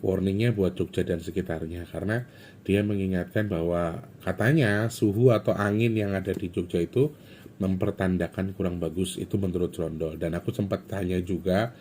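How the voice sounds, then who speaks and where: A man's voice: low-pitched, steady tone, moderate pace, darkish tone, a little hiss or hum. A man, talking to his viewers in a loose, rambling, chatty way and slipping into phrases. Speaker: spiritual content vlogger. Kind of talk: casual rambling monologue to viewers.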